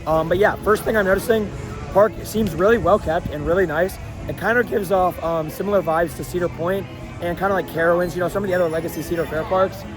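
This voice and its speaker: A young man with a hoarse, worn-out voice talks to his camera outdoors at an amusement park. His voice sits high and comes out eager and chatty, full of ums and likes. Music plays clearly in the background. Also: fairly even intonation, quick pace. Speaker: vlogger host. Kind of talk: casual vlog walkthrough.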